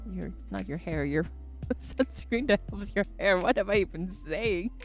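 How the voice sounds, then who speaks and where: A woman's voice: medium pitch, animated, measured pace, faint music behind. A woman streamer in casual chat, switching between English and Japanese, rambling and laughing often.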